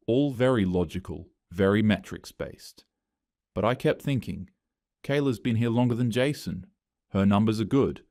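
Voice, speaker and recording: A man's voice: low-pitched, a little mellow, dry recording, clean studio sound. A man narrating a first-person story in a scripted, matter-of-fact way.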